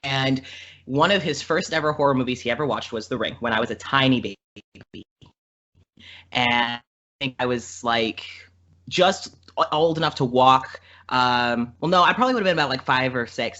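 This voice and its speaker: Guy podcast guest, bright voice, chatty rambly storytelling, kinda muffled lofi recording. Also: mid-range voice. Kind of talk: casual chat, storytelling. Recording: dull lo-fi podcast audio.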